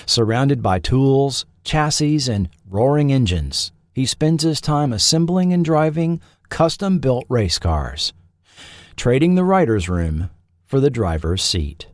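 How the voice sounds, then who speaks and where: A man narrating a scripted documentary in a measured, formal storytelling manner. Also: medium pitch, lively intonation, no room sound, studio quality. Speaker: podcast host and narrator.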